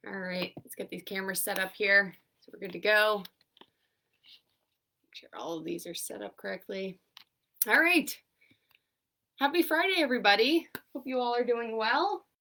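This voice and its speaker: Young female therapist, congested, bright and slightly gravelly, upbeat coaching tone; mic set back from her. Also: mid-range voice, distant mic. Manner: upbeat, encouraging, counts down holds. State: congested, stuffy from sinus trouble. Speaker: occupational therapist leading seated exercise class. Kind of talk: instructing an online exercise class.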